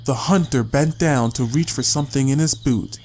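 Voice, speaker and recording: A man's voice: medium pitch, moderate pace, a little mellow. A man reading a story as an audiobook narrator, in a dramatic scripted style.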